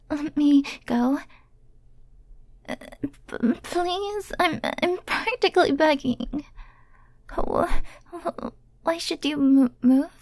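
A woman's voice: high-pitched, gravelly, mellow, no echo, heavily acted. A woman playing a shy, obsessive young secret admirer in an intimate roleplay audio, anxious and hesitant, often murmuring breathily. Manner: nervous, hesitant, stammering when flustered. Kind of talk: intimate roleplay audio acting, first-person monologue.

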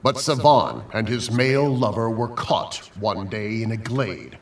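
A man telling an old legend as dramatic scripted narration. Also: fairly low pitch. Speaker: storyteller. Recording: echoey, distant, faint ambient noise.

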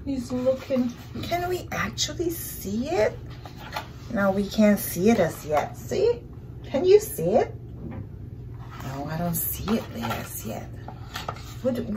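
Animated woman storyteller, slow and expressive. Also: on the high side, background noise. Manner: expressive, animated, exclaiming and asking questions. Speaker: read-aloud storyteller for young children. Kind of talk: children's picture book read-aloud, improvised narration with questions. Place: small indoor living room, seated on a couch.

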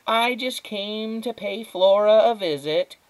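A woman voicing a brisk, knowing great-aunt in an audiobook, with an emphatic, arch manner. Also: lowish voice, sing-song, pitched above the performer's own voice, low-quality recording. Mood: falsely polite.

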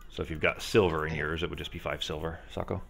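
A man with a very deep, gravelly voice talks quickly and chattily, explaining the rules to the table. There is a faint hiss on the voice chat line. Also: level tone, a bit bright. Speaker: player. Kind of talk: casual tabletop game session over voice chat.

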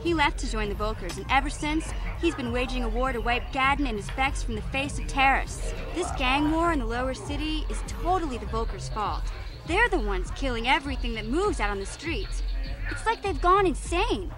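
A woman's voice: high voice, lively intonation, moderate pace. A woman playing a feisty, streetwise teenage alien girl in a video game, with a sassy, brash, slangy way of talking. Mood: indignant, informative. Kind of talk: video game character dialogue. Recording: music playing behind.

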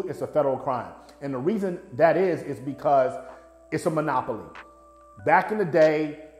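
A man's voice: a little high, darkish tone. Emphatic American man, roomy echo. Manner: emphatic, blunt, profane, slangy. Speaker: music promoter and video host. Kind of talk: opinionated explainer to camera. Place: small indoor office studio.

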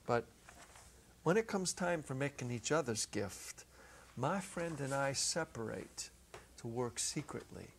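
A middle-aged man narrating a short story as a dramatic reading, theatrical, with deliberate pauses and shifts in intensity. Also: mid-range voice, animated, slight background noise. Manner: theatrical, varying from hushed to emphatic, with deliberate pauses. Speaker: narrator, reader. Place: indoor stage set, seated at a music stand. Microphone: clip-on lapel mic.

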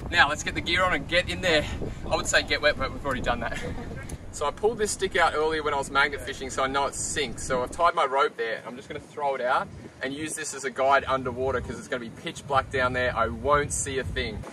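A man's voice: medium pitch, fast. A young Australian man vlogging his treasure hunt, chatty and eager, laughing now and then. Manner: excitable, gasping and laughing at finds. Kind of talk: casual vlog narration. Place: outdoors by a flooded quarry, in a van, and underwater. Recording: noisy outdoor camera audio.

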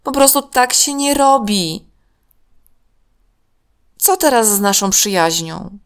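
A woman's voice: medium pitch, animated, takes it slowly, voice pushed up. A woman voicing a principled, reproachful young girl in a children's story, firm and earnest.

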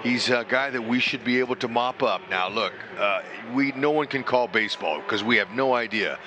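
A man's voice: mid-range voice, normal speed, background noise. A man giving baseball color commentary in a folksy way, often stopping and restarting his sentences. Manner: folksy, animated, with repeated restarts.

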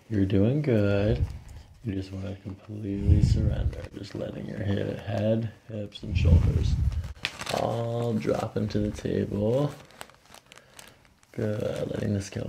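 A middle-aged man working as a chiropractor, giving calm, short, soothing instructions during an ASMR treatment. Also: fairly low pitch, takes it slowly, creaky, a little hiss or hum. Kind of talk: hands-on treatment talk, ASMR. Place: small office treatment room. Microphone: microphone on a boom nearby.

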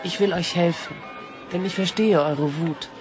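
A woman playing a mysterious cloaked stranger in an audio drama, calm, cryptic and persuasive. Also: lowish voice, normal speed, close to an everyday voice. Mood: calm, conspiratorial. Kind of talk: German audio drama acting. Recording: music behind the voice.

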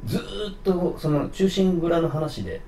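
A man's voice: fairly high pitch, lively intonation, normal speed, crowd noise. A man telling career stories as an interviewed actor, in casual, fragmented conversation. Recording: roomy, distant mic, faint noise.

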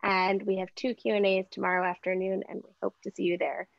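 A woman in her thirties moderating a film festival Q&A over a video call, friendly and slightly halting. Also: medium pitch, level tone, gravelly, slight background noise. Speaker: festival artistic director and moderator. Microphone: laptop webcam video call. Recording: dull lo-fi video call audio.

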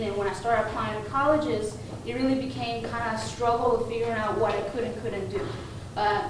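A young woman from Mexico whose first language is Spanish gives an informal conference talk with frequent fillers and restarts, standing in a conference room. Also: a little high. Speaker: conference presenter. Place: conference room with projector screen. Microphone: room mic heard from a distance. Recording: echoey room, distant mic, background noise.

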